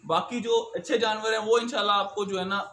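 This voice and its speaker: A young man answers interview questions as a farmer. He explains with repeated filler phrases. The recording is echoey and clearly noisy, made in an open shed. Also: high-pitched, fairly even intonation, fast, fairly bright tone. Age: young adult. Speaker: cattle farmer being interviewed. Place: open-sided cattle shed on a farm.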